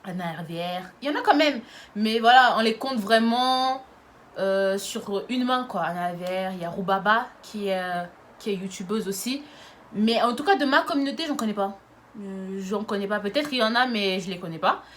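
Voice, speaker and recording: A woman's voice: mid-range voice, lively intonation, normal speed, fairly bright tone, a little hiss or hum. Chatty giggly young woman vlogging. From Lyon area, France. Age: 20s. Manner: chatty, giggly, many fillers. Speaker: YouTuber. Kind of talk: casual vlog chat.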